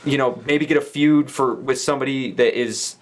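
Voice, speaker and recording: A man's voice: mid-range voice, fairly even intonation, normal speed. Man, podcast guest, mid-range voice, casual sports-talk style, hedging and rambling. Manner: hedging, rambling with frequent "you know". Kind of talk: casual wrestling discussion.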